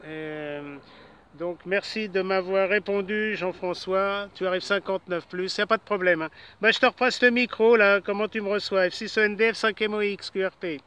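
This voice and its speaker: A man talking on a portable ham radio from outdoors, giving his report in a brisk, matter-of-fact way. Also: high voice, steady tone, pushed and bright, clear, resonant tone. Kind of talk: casual radio chat. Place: outdoors in a park with a bicycle. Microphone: portable low-power radio. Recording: shortwave radio with faint static.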